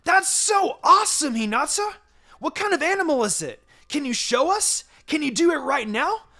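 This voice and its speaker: Guy doing a hyper loudmouth teen ninja boy, high voice, blurts everything out. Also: very animated, heavily acted. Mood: excited. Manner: exclaiming, rapid-fire questions. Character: excitable, brash teenage ninja boy.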